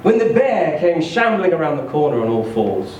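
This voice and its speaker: A man reading a short story aloud in an expressive, theatrical, emphatic storytelling style. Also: a little high, normal speed, lo-fi sound. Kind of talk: expressive live literary reading. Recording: echoey room, distant mic, faint noise.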